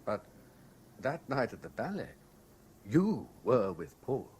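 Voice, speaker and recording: An actor playing an obsessed, lovelorn British man in a 1970s radio drama, speaking with intense, deliberate theatrical delivery. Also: mid-range voice, sing-song, a little mellow. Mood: pleading, shocked. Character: obsessed lovelorn man piecing together a betrayal. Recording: noisy old radio broadcast recording.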